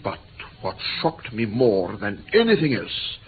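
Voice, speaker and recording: An actor playing a formal, earnest and excitable male interpreter in an old British radio play. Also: on the low side, unhurried. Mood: grave recounting. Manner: formal, earnest, flustered when alarmed. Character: courteous, excitable Greek male interpreter, easily indignant. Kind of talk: mid-20th-century British radio play acting. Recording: tinny, slightly noisy old radio recording.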